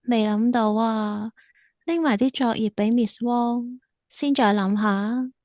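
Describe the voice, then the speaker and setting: A woman's voice: a little high, takes it slowly, darkish tone, no echo, studio quality. An actress playing a tender, devoted teenage schoolgirl in an audio drama, with a Hong Kong Cantonese accent. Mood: caring, casual.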